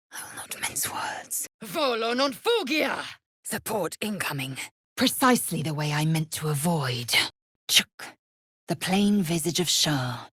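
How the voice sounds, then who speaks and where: A woman voicing a fierce, proud alien warrior woman in a video game, scornful and haughty, with clipped, formal phrasing and a husky, breathy voice. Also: sits low, no echo, studio quality, exaggerated voice. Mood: low, menacing, then battle cry, then measured, proud. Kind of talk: video game character voice lines.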